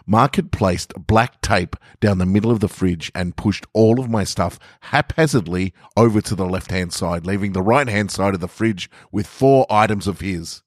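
A man's voice: lowish voice, normal speed, few pauses, no echo, studio quality. An Australian man hosting and reading short stories on a podcast, his voice affected by a lingering head cold. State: getting over a head cold. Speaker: podcast host and story reader. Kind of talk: scripted story narration with casual introduction.

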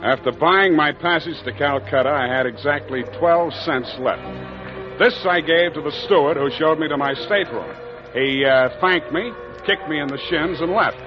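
An actor playing a wry, hard-boiled private detective, wisecracking in 1940s radio noir style. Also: male voice, a little high, normal speed, lightly acted. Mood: wry, narrating. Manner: deadpan, wisecracking. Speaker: narrator and lead. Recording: dull old radio recording, music bed.

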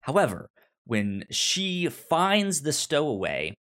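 Slow-paced man, halting casual talk. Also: fairly high pitch, huge pitch swings, fairly bright tone. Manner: halting, repeats and restarts words often. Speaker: podcast co-host reviewing a film. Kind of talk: casual film discussion.

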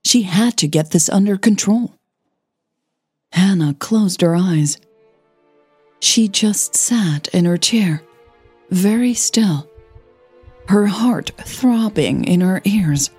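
A woman who narrates and hosts a fiction podcast, telling the story in a measured, dramatic way with deliberate pauses. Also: mid-range voice, animated, a little mellow, breathy edge, soft background music. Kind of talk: scripted audio fiction narration.

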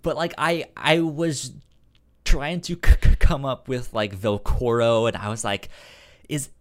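A man's voice: medium pitch, steady tone, measured pace. A man co-hosting a podcast in casual discussion of comics, with a stutter that repeats sounds and words. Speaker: podcast co-host.

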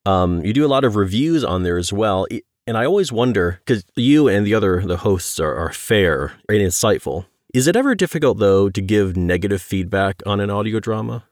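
A man's voice: on the low side, lively intonation, a little fast, hardly any pauses, a little mellow, no room sound, studio quality. A man hosting a podcast interview, putting questions and observations to a guest in a conversational way.

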